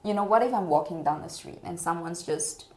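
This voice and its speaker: A woman from Malaysia co-hosting a podcast, talking in a thoughtful, measured and gently emphatic way. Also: on the low side. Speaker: podcast co-host. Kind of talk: casual conversational chat.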